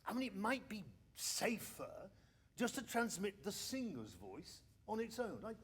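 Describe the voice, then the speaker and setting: A man's voice: sits high, very animated, measured pace, husky, heavily acted. A man playing an anxious, sceptical 1920s research engineer in a radio play, hesitant and stammering as he talks. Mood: worried, doubtful. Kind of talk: period radio drama acting.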